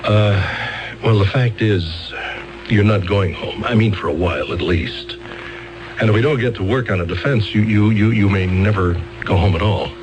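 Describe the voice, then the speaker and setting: An actor playing a fumbling, wordy court-appointed lawyer who hesitates, stammers and clears his throat, in a 1970s radio drama. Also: on the low side, moderate pace, highs cut off, noisy background, lightly acted.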